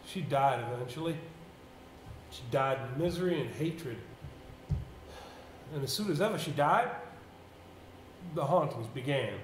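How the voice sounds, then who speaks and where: A grave village man, played by a male stage actor, telling of a haunting in a fairly high voice. His delivery is solemn and halting, as in a stage play, and the room echoes around him with faint noise behind. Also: expressive. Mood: grim, haunted. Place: indoor theatre stage.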